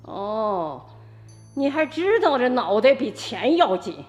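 A woman's voice: a little high, sing-song, takes it slowly, soft background music. An actress, scolding her son sharply. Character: stern village mother, sharp-tongued and scolding. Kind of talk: radio drama acting.

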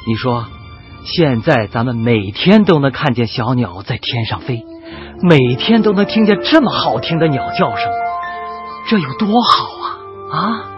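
Man voicing a tender father, radio drama, emotive storytelling, expressive intonation, lo-fi recording, music behind. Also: medium pitch, a little slow, natural voice. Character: tender, sorrowful father recalling wartime to his child. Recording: muffled lo-fi broadcast with music behind.

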